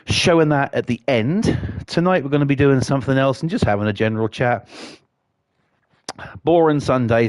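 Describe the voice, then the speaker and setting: A mid-pitched man with a cold chats away while he streams, rambling and full of fillers. He swears casually as he talks. The sound is close and dry. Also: darkish tone, no room sound. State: has a cold. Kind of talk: casual game stream chat.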